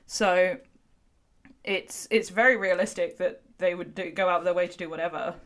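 A woman's voice: on the low side, fairly even intonation, normal speed, a bit bright. Informal, opinionated woman chatting about film. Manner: informal, opinionated, sweary, frequent "like". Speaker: film discussion podcast host. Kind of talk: casual chat, film discussion.

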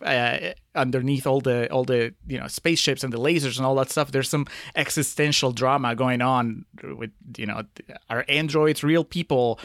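A middle-aged man from Peru talks casually as a guest, in a mid-pitched voice. He wanders through his thoughts with plenty of hesitation, and the sound is dry, with no room to it. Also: a bit bright, no room sound. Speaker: podcast guest. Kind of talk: casual chat, reminiscing.

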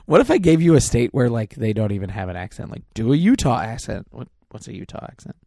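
Gravelly relaxed guy cohosting a podcast, teasing, mellow tone. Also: mid-range voice, fast talker, dry recording, studio quality. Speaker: podcast co-host. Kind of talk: casual chat.

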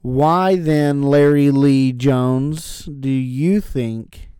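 A man co-hosting a church podcast in casual chat, with a dry, teasing wit and quick jokey asides. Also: mid-range voice, warm tone, smooth, no room sound. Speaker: podcast co-host.

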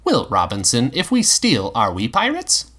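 A man's voice: medium pitch, wildly swooping pitch, moderate pace, a bit bright. A man voicing a polite, curious male robot companion who speaks in formal, precise words in an audiobook. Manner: formal, precise, courteous wording. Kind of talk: audiobook character voice.

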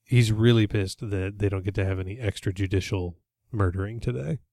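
A man's voice: on the low side, fairly even intonation, darkish tone, no room sound, clean studio sound. A man hosting a podcast, casually recapping an episode in a rambling, jokey way. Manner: rambling, jokey, with quick put-on voices. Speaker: podcast host.

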